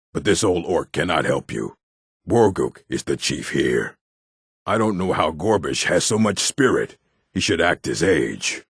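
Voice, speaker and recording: Aging orc, wistful, slow, husky, humble, fantasy game character. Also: male voice, very deep voice, no echo, studio quality, exaggerated voice. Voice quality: gravelly, husky. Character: weary old male orc, wistful and humble. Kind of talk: video game character voice acting.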